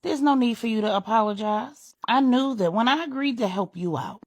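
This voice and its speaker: Woman acting out a steadfast, husky woman pastor. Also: on the high side, fairly quick, no echo, lightly acted. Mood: steadfast, earnest. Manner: colloquial, scripture-quoting, resolute. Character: devout, self-sacrificing woman pastor, earnest and steadfast. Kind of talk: audio storytelling dialogue.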